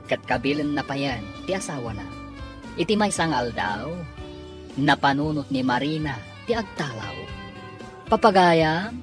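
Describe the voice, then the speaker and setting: Low, lively Ilocano woman announcer. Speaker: radio drama narrator and announcer. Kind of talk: dramatic scripted narration. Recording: music under voice. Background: dramatic music.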